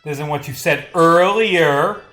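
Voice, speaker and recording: A man's voice: fairly high pitch, unhurried, bright, forceful tone, echoey room, soft background music, pitched above the performer's own voice, low-quality recording. A man voicing an insistent detective from a visual novel, questioning a suspect in a pressing, accusatory manner.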